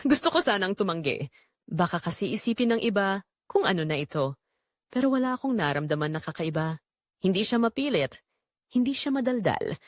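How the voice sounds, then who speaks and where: A woman's voice: on the low side, no room sound, clean studio sound. A woman playing a young graduate working as a barista, telling her own story reflectively in a scripted audio drama. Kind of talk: first-person scripted audio drama narration.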